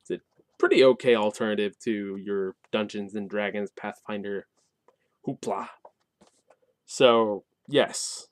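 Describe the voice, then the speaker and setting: A man's voice: mid-range voice, no echo. A man giving a casual spoken review and explaining a game setting, colloquial and wry with sarcastic asides. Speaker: tabletop game reviewer and host.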